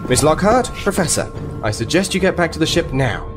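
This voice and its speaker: A man's voice: fairly high pitch, big pitch swings, a little fast. A man playing an impatient, suspicious military captain in a science fiction audio drama, brusque and commanding. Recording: loud music behind the voice.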